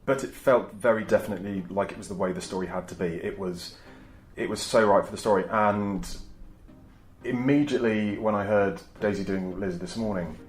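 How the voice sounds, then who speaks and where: Male writer, lowish voice, informal interview delivery with noticeable room echo. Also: normal speed, faint music behind. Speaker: scriptwriter. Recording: roomy behind-the-scenes interview.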